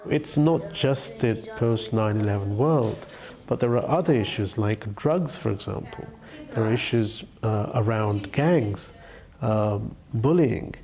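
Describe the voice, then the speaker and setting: Middle-aged man, mellow, thoughtful halting interview. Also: fairly low pitch. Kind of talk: documentary interview. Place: office with bookshelves.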